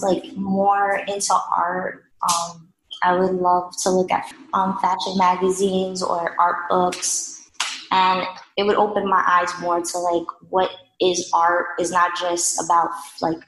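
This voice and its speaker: A woman's voice: fairly low pitch, flat delivery, unhurried, a bit bright. A young woman from New York City, a guest artist answering interview questions casually, hesitant and full of fillers. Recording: echoey room with faint noise.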